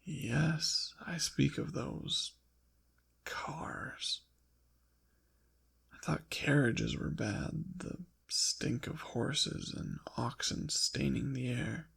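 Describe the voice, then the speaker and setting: An ancient demon acted out by a man murmurs close in an ASMR roleplay. The voice is very breathy and husky, often sinking to a whisper, with heavy crackling fry. He speaks slowly and formally, haughty and possessive, yet with a teasing softness. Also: medium pitch, exaggerated voice. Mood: disdainful. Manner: formal, slow, deliberate diction. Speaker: audio roleplay performer. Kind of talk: ASMR roleplay, intimate scripted monologue.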